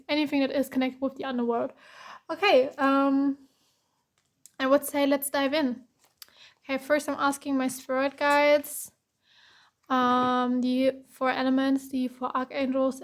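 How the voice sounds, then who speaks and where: A woman from Germany giving a casual tarot reading, hoarse with a cracking voice, reassuring and repeating herself. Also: high-pitched. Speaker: tarot reader.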